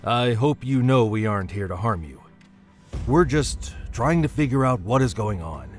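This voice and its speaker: An actor playing a composed, curt military guard following orders in a horror audio drama. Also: male voice, mid-range voice, lively intonation, normal speed, over music, close to an everyday voice. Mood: controlled. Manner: controlled, authoritative.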